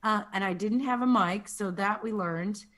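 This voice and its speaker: Woman, deep raspy voice, casual guest telling stories about teaching. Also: normal speed, a bit bright. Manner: chatty, tells anecdotes with quoted asides. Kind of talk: casual chat.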